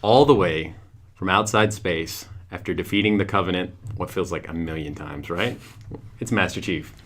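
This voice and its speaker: A man's voice: sits low, normal speed, a bit bright. A young man with a Western Kentucky accent, co-hosting a casual podcast with friends, upbeat and enthusiastic. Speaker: podcast co-host.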